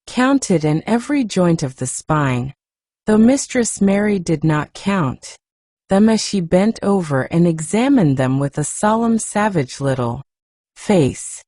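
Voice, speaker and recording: Soft-spoken woman reading a kids' classic, slow, very dry close sound. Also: no echo. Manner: gentle, acts dialogue with raised pitch. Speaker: narrator.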